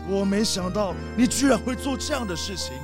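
A man's voice: high-pitched, brisk, music in the background, lightly acted. A man playing a blustering leopard boss and father in a children's radio play, with an emphatic, dramatic delivery. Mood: remorseful.

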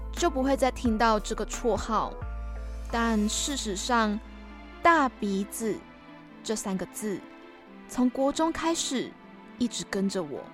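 A woman's voice: mid-range voice, expressive, unhurried, faint music behind, close to an everyday voice. A woman playing a wistful young narrator who looks back reflectively in a scripted audio drama. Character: wistful young woman narrating her schooldays of being teased.

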